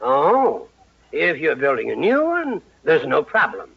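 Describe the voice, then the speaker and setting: A man's voice: a little high, huge pitch swings, measured pace, gravelly, breathy edge, heavily acted. A man playing a stern, officious banker in an old-time radio comedy, formal and pedantic in his speech. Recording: dull vintage radio with faint noise.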